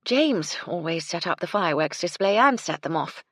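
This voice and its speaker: A woman voicing a composed, polite female hotel owner with a prim, measured manner, in an audiobook. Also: on the low side, animated, no room sound, studio quality. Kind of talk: audiobook character voice.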